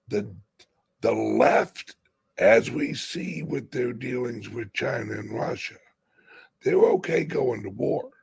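Slow raspy man with gravelly voice. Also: medium pitch, a little mellow. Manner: emphatic, rambling with restarts. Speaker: crypto show host. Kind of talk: casual political chat.

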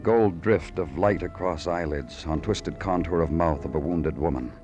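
An actor playing a world-weary, poetic police detective in an old radio crime drama, speaking in clipped, lyrical phrases. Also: male voice, deep voice, moderate pace, a little mellow, close to an everyday voice. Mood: brooding. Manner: clipped, brooding, lyrical narration. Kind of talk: 1940s-50s radio noir acting. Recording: old radio recording with music.

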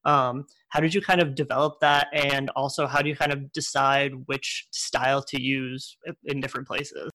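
A man's voice: medium pitch, flat delivery, moderate pace, hardly any pauses, pushed and bright, poor audio. A young man interviewing a musician, asking hesitant questions packed with "like" and "kind of".

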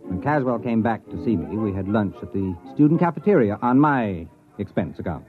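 Man playing a wry, animated university administrator, old radio. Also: lowish voice, a little fast, lightly acted. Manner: wry, sardonic. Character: wry, worldly male university administrator telling his story. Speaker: narrator and character in radio drama. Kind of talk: mid-century radio drama acting, wry narration. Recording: thin phone-like vintage radio recording, faint music.

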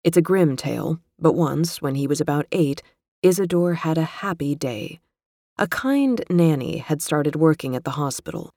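Low, mellow woman reading a feature. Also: no room sound, clean studio sound. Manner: measured, even, literary delivery. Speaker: narrator reading a magazine feature. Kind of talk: scripted narration.

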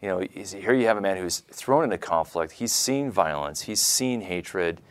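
A man with a very low-pitched voice hosts a conversational interview. He poses questions and adds reflective commentary of his own in an informal, talking style. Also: animated, normal speed. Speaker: interview host.

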